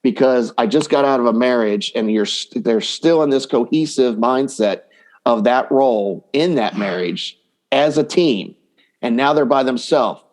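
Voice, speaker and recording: A man's voice: medium pitch, a little mellow. Male dating coach in conversation. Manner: explains through acted-out hypothetical quotes. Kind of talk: conversational podcast interview.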